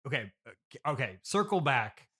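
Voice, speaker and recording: Skeptical male interviewer with a bright voice. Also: mid-range voice, big pitch swings, normal speed, slightly rough. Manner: dry, skeptical questioning. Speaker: podcast interviewer. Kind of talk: casual improvised comedy interview.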